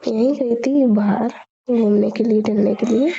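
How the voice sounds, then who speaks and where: A woman's voice: fairly high pitch, fairly even intonation, unhurried, creaky, warm tone, breathy edge. A young woman chatting casually and personally on a phone call, often repeating her phrases, in a lo-fi recording.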